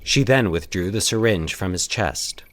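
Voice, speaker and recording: Male horror podcast narrator, low register, highly varied intonation, dramatic and suspenseful storytelling. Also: animated, normal speed. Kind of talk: scripted horror fiction narration.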